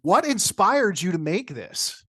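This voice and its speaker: A man's voice: sits high, big pitch swings, a little slow, fairly bright tone, breathy edge, dry recording, clean studio sound. A man hosting a casual interview, appreciative and hesitant, repeating words as he forms questions. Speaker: podcast host.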